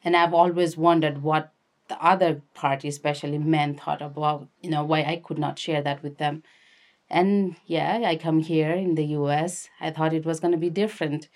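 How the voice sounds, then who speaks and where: A woman's voice: deep voice, steady tone, normal speed, darkish tone. A young woman from Nepal with a Nepali accent, telling personal stories conversationally as an interview guest. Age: young adult. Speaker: interview guest, activist and filmmaker.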